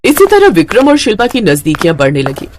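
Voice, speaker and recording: A woman's voice: medium pitch, huge pitch swings, a little fast, background noise. A woman reading scripted narration for a family moral story as the narrator.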